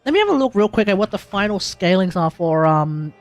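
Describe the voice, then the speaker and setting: An Australian man doing casual livestream commentary, blunt and slangy, rambling as he thinks out loud with emphatic turns. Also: high voice, sing-song, a little fast, no echo. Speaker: livestreamer, gaming content creator. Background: music in the background.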